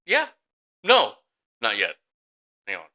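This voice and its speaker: A man's voice: fairly low pitch, wildly swooping pitch, takes it slowly, bright, forceful tone. A man co-hosting a casual podcast with his brother, telling rambling stories and laughing often. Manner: rambling storyteller, laughs a lot, acts out voices in anecdotes. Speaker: podcast co-host. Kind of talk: casual chat between brothers.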